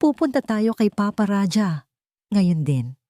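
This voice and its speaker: A woman's voice: medium pitch, big pitch swings, measured pace, warm tone, dry recording, clean studio sound, pitched above the performer's own voice. Woman voicing a resolute Filipino young mother. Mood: determined. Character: wronged, resolute young mother speaking to her child.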